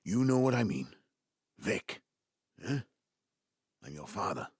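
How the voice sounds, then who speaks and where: An old Welsh father from the South Wales Valleys in an audio drama. His husky voice swings widely in pitch and takes its time. He is a jovial rogue, effusive and full of jokes, eager to make amends. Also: very animated, slow pace, no room sound, clean studio sound, full character voice. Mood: conciliatory. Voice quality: gravelly, husky. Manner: jokey, chuckling, effusive. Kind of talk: naturalistic audio drama acting.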